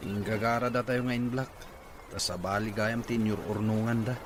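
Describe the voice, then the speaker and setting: A man's voice: fairly low pitch, steady tone, moderate pace, over music, natural voice. A man with a Filipino accent playing a practical farming man in a conversational audio drama. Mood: matter-of-fact. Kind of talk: conversational Ilocano radio drama acting. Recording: clear background noise.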